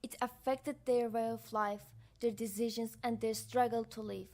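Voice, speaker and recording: A woman's voice: a little high, flat delivery, moderate pace, a little mellow. Young woman narrating a student documentary. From Azerbaijan. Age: young adult.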